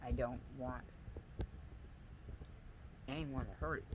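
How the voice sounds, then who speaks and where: A man with a weak, soft voice, speaking to camera in a personal video diary, halting and pausing often as he searches for words. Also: medium pitch, steady tone. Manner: halting, searching for words, many pauses and fillers. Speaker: vlogger. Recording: audible background noise.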